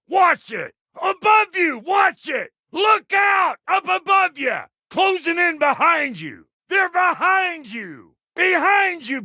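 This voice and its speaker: A man voicing a gruff, foul-mouthed dwarf blacksmith in a video game, with a gravelly drawl and a brash, folksy manner. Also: extremely high pitch, sharp tone, no room sound, clean studio sound, heavily acted. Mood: urgent warning. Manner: brash, folksy, cussing, barking warnings and taunts. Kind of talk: video game character voice lines.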